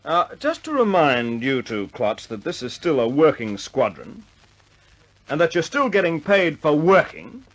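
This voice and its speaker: An actor in a radio drama playing a stern but wry air force squadron commander who gives clipped orders. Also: male voice, fairly high pitch, wildly swooping pitch, measured pace, exaggerated voice, rough recording.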